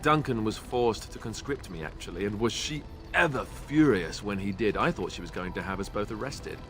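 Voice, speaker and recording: A wry young warrior in a fantasy game. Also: male voice, lowish voice, lively intonation, moderate pace. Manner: wry, self-deprecating humor. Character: young male warrior, former templar trainee, wry and self-mocking. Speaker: companion character in a video game. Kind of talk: voiced game dialogue. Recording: game audio over background music. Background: game soundtrack music.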